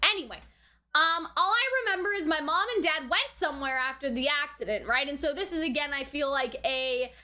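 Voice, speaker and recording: High bright voiced woman chatting thru anime theories, super informal, keeps tagging her sentences with little questions. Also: fairly quick. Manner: chatty, informal, often ending phrases with tag questions. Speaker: reaction video host. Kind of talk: casual, speculative anime review commentary.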